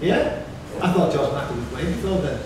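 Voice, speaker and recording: Higher-voiced man chatting, distant and echoey. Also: lively intonation, brisk. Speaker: quiz contestant. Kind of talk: casual pub banter. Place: pub quiz room, indoors. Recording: very echoey pub, distant, noisy.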